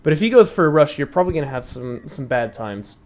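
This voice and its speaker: Chatty young man, slightly raspy. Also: fairly high pitch, expressive, fast talker. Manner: chatty, thinks aloud with many fillers and laughs. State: slightly raspy from long talking. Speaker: streamer teaching strategy. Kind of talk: casual live-stream commentary.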